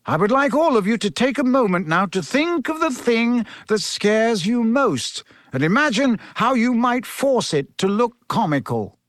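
A man voicing a kindly male schoolteacher in an audiobook, patient, cheerful and gently amused as he instructs. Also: very high-pitched, big pitch swings, a little slow, hardly any pauses, no echo, studio quality, voice pushed up, heavily acted. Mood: amused, instructing. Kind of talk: audiobook character voice.

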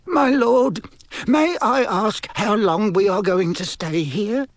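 A timid male servant in an audiobook, pitched high and speaking slowly. He is nervous and cringingly deferential, hesitating before his master. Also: expressive, a little mellow, dry recording, clean studio sound, exaggerated voice. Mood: timid, puzzled. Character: timid, fearful, servile male henchman.

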